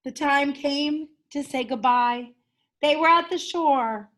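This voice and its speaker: High-voiced woman reading a children's story. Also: slow pace, fairly bright tone. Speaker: teacher reading a picture book aloud. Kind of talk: expressive children's story narration.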